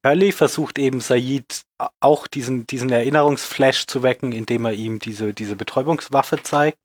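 A man with a cold retells episode scenes in a plain, matter-of-fact way, with a touch of dry humour. His voice sits at a middle pitch, and the recording is dry and close. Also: normal speed, no echo. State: has a cold. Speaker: podcast co-host. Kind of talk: casual TV-series recap chat.

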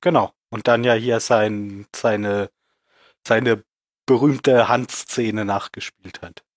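A man's voice: fairly low pitch, steady tone, slow, no echo. A man with a cold co-hosting a casual series recap, retelling scenes plainly with dry humour. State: has a cold. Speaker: podcast co-host.

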